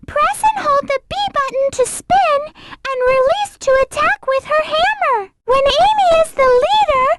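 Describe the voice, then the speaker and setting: A woman's voice: extremely high pitch, heavy background noise. A woman voicing a sweet, polite little girl rabbit in a video game, with a small childlike voice and an earnest manner. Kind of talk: video game character voice acting.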